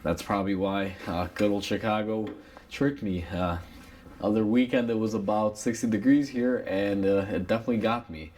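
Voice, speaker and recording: A man with a cold and a raspy voice, talking casually through a repair step by step with plenty of fillers. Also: lowish voice, moderate pace. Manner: relaxed, rambling with many uh fillers. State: has a cold. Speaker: mechanic demonstrating a repair. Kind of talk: casual how-to tutorial narration.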